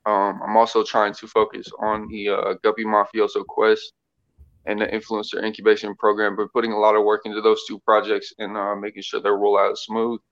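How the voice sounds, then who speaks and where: Flat, halting man on dull audio. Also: on the low side. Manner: halting, many fillers and restarts. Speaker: social media team member. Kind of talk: casual self-introduction.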